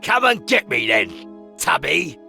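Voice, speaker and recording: Gravelly, shifty cartoon bandit. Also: male voice, a little high, big pitch swings, measured pace, pushed and bright, faint music behind, exaggerated voice. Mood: defiant. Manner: sneering, evasive. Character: shifty, grumbling male bandit villain. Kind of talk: video game cartoon acting.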